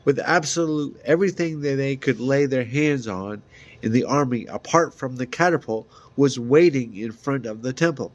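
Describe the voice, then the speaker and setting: A man reading a fantasy novel aloud as an amateur, halting at times, with small stumbles and repeated words. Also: mid-range voice, muffled recording. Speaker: amateur reader.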